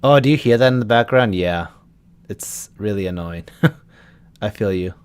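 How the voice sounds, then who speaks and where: A man's voice: fairly low pitch, expressive, measured pace, smooth. A young man from Texas, a voice actor chatting casually with viewers on a live stream and answering their questions. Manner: chats loosely, answering viewers' questions as they come.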